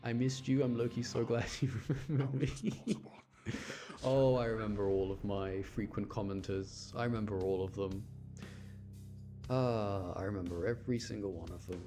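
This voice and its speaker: A man's voice: lowish voice, steady tone, normal speed, warm tone. A young British man chatting casually and commentating on a game as he livestreams, rambling and often repeating his words and phrases. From United Kingdom. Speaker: game streamer. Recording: music playing behind the voice. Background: game music.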